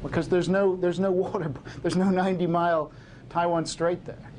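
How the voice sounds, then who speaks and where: A middle-aged man gives a lecture in a fairly high voice, stopping and restarting often and filling the gaps with "uh". A faint hiss sits under the recording. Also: little pitch movement, a little mellow. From Hong Kong. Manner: halting, many fillers and restarts. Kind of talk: lecture answering audience questions.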